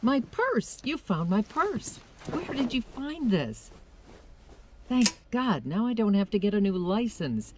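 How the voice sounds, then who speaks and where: A woman's voice: a little high, big pitch swings, slow pace, background noise. An actress playing a kindly middle-aged shopkeeper and mother in a video game cutscene. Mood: relieved, excited. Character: kindly working mother, female small-town shopkeeper.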